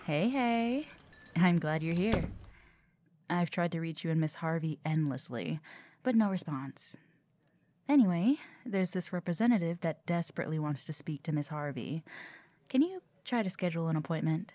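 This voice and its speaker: A woman's voice: on the low side, lively intonation, moderate pace, dark tone, no echo, lightly acted. A woman playing a friendly, chatty, curious office worker in an audio roleplay. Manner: chatty, supportive.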